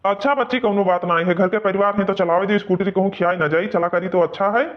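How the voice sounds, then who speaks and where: A man's voice: high voice, steady tone, fast talker, muffled recording, close to an everyday voice. A man with an Awadhi accent voicing a protective, blustering village brother in a cartoon comedy. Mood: reassuring.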